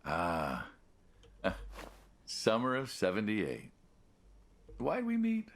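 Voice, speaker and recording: An actor playing an aging American mob man in a video game, folksy and casual but quietly menacing, probing an old friend. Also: on the low side, huge pitch swings, extremely slow, heavily acted. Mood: friendly, nostalgic. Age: older. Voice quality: gravelly, husky. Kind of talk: naturalistic video game dialogue.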